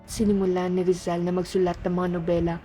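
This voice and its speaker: Female narrator for a historical dramatization, scripted read, warm low-brightness timbre, light music bed. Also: flat delivery, a little fast.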